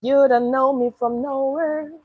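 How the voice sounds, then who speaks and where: A woman's voice: sits high, steady tone, measured pace, a little mellow, no echo. A young woman, live-streaming casually and answering chat comments bluntly, with swearing. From Nigeria.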